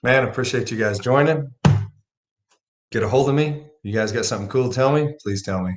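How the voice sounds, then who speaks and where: A man's voice: mid-range voice, a little fast. A man hosting a livestream, talking casually about faith and telling a personal story with rising emphasis. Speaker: livestream host.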